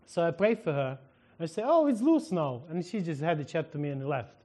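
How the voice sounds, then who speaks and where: A man from Ukraine with a Ukrainian accent, a minister telling a lively testimony in a sermon, acting out the dialogue and laughing often. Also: a little high, fairly quick.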